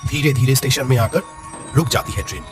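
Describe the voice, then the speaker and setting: A man's voice: mid-range voice, brisk, over music. A man narrating a scripted horror story dramatically.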